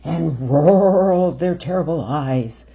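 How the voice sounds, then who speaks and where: A woman reading a picture book aloud to children in an expressive, drawn-out storytelling manner, full of wonder. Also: low-pitched, vocal fry. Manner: expressive, drawn-out phrasing, gasps of wonder and questions to young listeners. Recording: dull lo-fi sound with faint noise.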